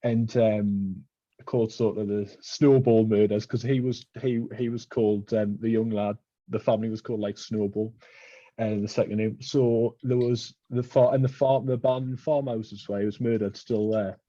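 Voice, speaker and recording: A man with a Geordie accent hosts a casual podcast chat, drifting through long local stories with plenty of ums and uhs. His voice is warm and mellow. The sound is close and dry, with a slightly dull, lo-fi edge. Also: lowish voice, steady tone, moderate pace, no room sound. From Newcastle, England. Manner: rambling storyteller with many fillers. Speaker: podcast host.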